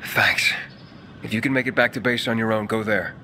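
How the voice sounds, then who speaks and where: Calm dry hero, lowish bright voice, faint music. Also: male voice, normal speed, a little hiss or hum. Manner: calm, matter-of-fact. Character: steady male wanderer, calm, dry. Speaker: messenger, player character. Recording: cutscene with faint score music.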